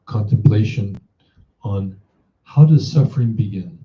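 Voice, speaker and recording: A man leading a guided meditation as a teacher, in a measured, instructive manner, posing reflective questions. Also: lowish voice. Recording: roomy echo, dull muffled sound.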